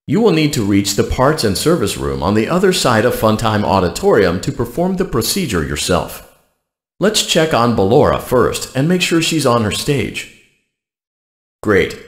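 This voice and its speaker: A man voicing a polite, deadpan, callous automated facility guide in a video game, giving scripted instructions in a measured, officious way. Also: fairly low pitch, roomy sound.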